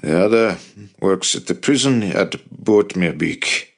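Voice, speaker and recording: A man's voice: sits low, expressive, a little slow, gravelly, exaggerated voice. A man voicing a determined, impassioned male resistance fighter in an audiobook, earnest, blunt and persuasive. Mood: blunt, confident.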